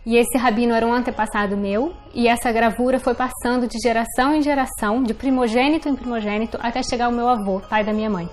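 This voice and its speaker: A woman's voice: fairly high pitch, steady tone, quick pace, few pauses. A woman with a Brazilian accent telling personal family history in a documentary interview. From born in Portugal to Brazilian parents.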